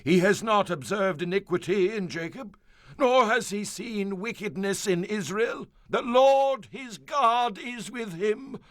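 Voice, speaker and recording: A high-voiced, slow, declaiming prophet. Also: male voice, fairly bright tone, dry recording, heavily acted. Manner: declamatory, drawn-out, emphatic delivery. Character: solemn male prophet proclaiming oracles with fervor. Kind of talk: radio theatre-style Bible dramatization.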